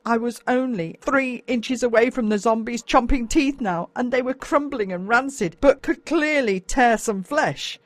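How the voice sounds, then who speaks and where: A woman with an Australian accent reads a first-person story as a dramatic, expressive audiobook narrator. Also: a little high, measured pace, few pauses, no room sound.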